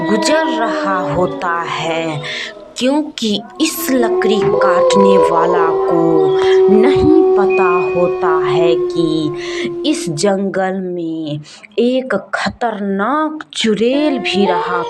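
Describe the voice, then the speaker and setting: A woman storyteller narrating a cartoon horror tale for children in a simple, repetitive oral style. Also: medium pitch. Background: music.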